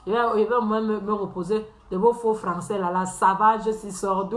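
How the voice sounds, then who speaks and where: A woman's voice: medium pitch, fairly even intonation, moderate pace. A woman hosting a live stream, teasing her viewers in a mocking rant, laughing often and repeating phrases. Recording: echoey room.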